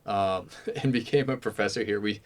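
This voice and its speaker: A man hosting a podcast interview, easygoing and quick with short affirmations. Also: on the low side. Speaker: podcast host. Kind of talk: casual interview.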